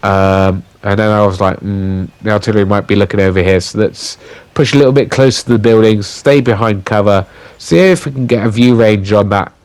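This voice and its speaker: Male gaming commentator, slightly low, croaky and congested, halting voiceover full of fillers. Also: darkish tone, no echo. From United Kingdom. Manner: halting, with many fillers and restarts. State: croaky voice with a blocked nose from illness.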